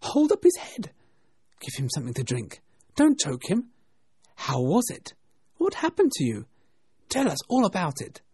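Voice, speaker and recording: A narrator voicing excitable small animals who clamour urgently in a storybook reading. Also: medium pitch, normal speed, long pauses, husky, no echo, studio quality, voice pushed up, exaggerated voice. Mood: fussing, urgent.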